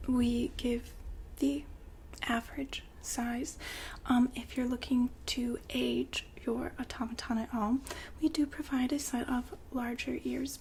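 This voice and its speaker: A woman performs an ASMR roleplay as a polite saleswoman in a breathy whisper. Her delivery is unhurried and very even, with gentle patter. A faint ambient hiss sits behind the voice. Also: on the high side.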